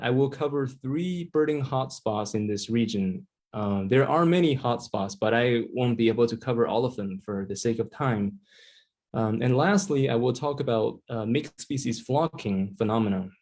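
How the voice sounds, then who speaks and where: A man's voice: mid-range voice, normal speed, a little mellow. Hesitant young man presenting on a call. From China. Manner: hesitant, lots of ums and uhs. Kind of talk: online presentation. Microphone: video call.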